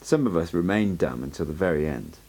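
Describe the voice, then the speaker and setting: Guy doing an old sorcerer mentor, deep and gravelly, calm, kind of wry, swings up and down a lot. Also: heavily acted. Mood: instructive. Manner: calm, measured, instructive.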